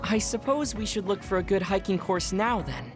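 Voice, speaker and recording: A woman's voice: mid-range voice, animated, close to an everyday voice. A woman playing a composed, matter-of-fact young soldier in an anime game dub, speaking formally. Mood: dry, firm. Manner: formal, measured phrasing. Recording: music clearly behind the voice.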